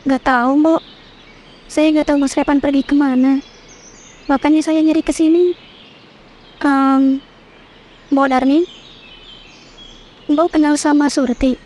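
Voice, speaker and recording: A woman's voice: high voice, fairly even intonation, measured pace, long pauses, darkish tone. A woman voicing a worried, polite young wife in a horror cartoon. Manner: anxious, polite, questioning.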